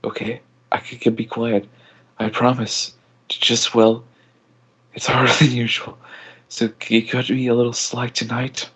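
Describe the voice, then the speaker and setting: A man's voice: mid-range voice, little pitch movement, moderate pace, a bit bright, breathy edge. A man playing a lovelorn, emotional young woman in an amateur dramatic story reading. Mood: tender, hesitant.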